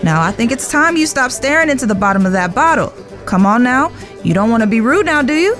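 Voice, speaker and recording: A woman's voice: fairly high pitch, expressive, a little fast. A woman acting in a western audio drama as a poised, playful and insistent lady with a genteel, formal manner. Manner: genteel, formal, gently teasing. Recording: music under the voice.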